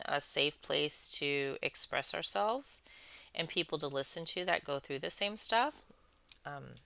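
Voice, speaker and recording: A woman hosting a craft channel, chatting casually in a soothing, soft-spoken, rambling way. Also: sits low, vocal fry, clean tone, no echo. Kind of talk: casual chat while crafting.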